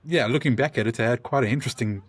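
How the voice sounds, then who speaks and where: A South African man, a podcast guest, talking through his story in halting restarts and frequent ums. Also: mid-range voice, breathy edge, dry recording. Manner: thoughtful, speaks in halting restarts with many ums. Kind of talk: conversational interview.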